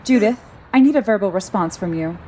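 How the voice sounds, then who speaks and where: A woman playing a calm, controlling car computer in an audio drama, formal and clinical, coldly protective and always politely commanding. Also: mid-range voice, fairly quick, a little hiss or hum, close to an everyday voice.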